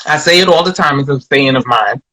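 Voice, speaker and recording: A woman's voice: very deep voice, fairly quick, sharp tone, poor audio. A woman comedian from Brooklyn with an American accent, telling stories in a rambling, reflective way full of restarts in a casual interview. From Flatbush, Brooklyn, New York. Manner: rambling, reflective, frequent restarts and "you know".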